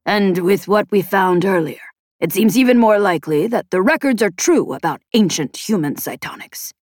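A woman's voice: medium pitch, expressive, normal speed, dry recording, clean studio sound, lightly acted. Woman playing stiff-accented, insistent older man. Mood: soft, wistful. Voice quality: stiff odd foreign accent. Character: emotional man in his late fifties, searching inventor.

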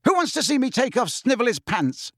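A brash, arrogant teenage schoolboy, acted out by a man reading an audiobook. He is high-pitched and very animated, swaggering and taunting, with a close, dry sound. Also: a little fast, husky, dry recording, exaggerated voice. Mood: vengeful. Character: cocky, arrogant, showy teenage schoolboy bully.

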